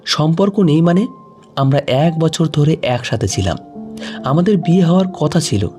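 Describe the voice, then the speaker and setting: A man's voice: a little high, animated, moderate pace, darkish tone, soft background music, lightly acted. A man voicing a distraught, insistent young woman grieving her lover in an audio story.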